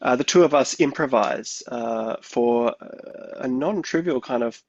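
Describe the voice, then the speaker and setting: Australian composer guest, 30s, mid-pitched, hesitant and talkative, dry studio sound. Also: male voice, measured pace, no room sound, muffled recording. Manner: thoughtful, rambling, many fillers. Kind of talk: interview answers.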